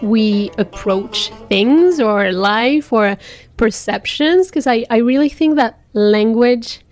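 A woman's voice: on the high side, takes it slowly, a little mellow, soft background music. Thoughtful woman. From France. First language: French. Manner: thoughtful, searching for words. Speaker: interviewee, teacher. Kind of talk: reflective personal interview.

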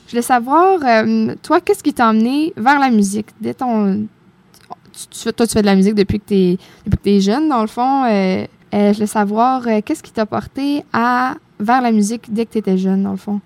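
A woman's voice: a little high, normal speed, a little mellow, dry recording. A woman interviewing a musician, often stumbling over and restarting her questions.

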